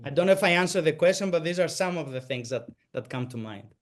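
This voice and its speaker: Greek accented guy on a video call, kinda high voice, lots of ums and restarts. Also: lively intonation. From Greek American. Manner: halting, many restarts and fillers. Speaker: presenter. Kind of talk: answering questions in a talk.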